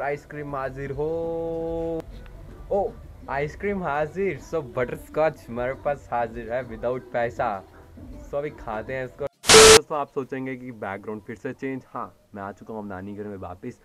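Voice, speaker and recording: A man's voice: mid-range voice, measured pace, dull audio. A man, chatting casually and playfully to the camera as a vlogger, addressing viewers directly. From India. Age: 20s. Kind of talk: casual vlog chat to camera.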